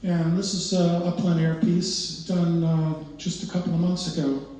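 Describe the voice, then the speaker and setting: An older man speaks about his work with a mellow, relaxed voice, pausing often and restarting his sentences. He sounds distant from the microphone, his voice ringing through a very echoey indoor gallery. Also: on the high side. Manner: halting, many uhs and restarts. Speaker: exhibiting artist. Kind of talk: informal gallery talk. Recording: very echoey room, voice far from mic, faint noise.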